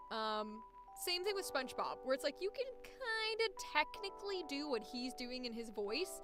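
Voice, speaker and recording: A young woman with a high-pitched voice, chatting casually about voice acting on a live stream and now and then trying out squeaky character voices. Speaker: voice actor streaming.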